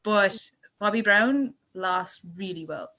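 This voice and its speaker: Young malaysian woman on a podcast, rambly and effusive, mid pitched voice. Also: takes it slowly, sharp tone. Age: 20s. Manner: chatty, effusive, rambling storytelling. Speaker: podcast guest and student contributor. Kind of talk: casual podcast chat.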